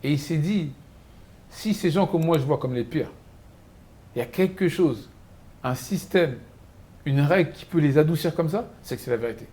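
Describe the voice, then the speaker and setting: A man hosting an interview, chatty and lively, telling anecdotes and asking questions. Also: on the high side, moderate pace, long pauses. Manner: chatty, animated storyteller. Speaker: host. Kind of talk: interview, conversational.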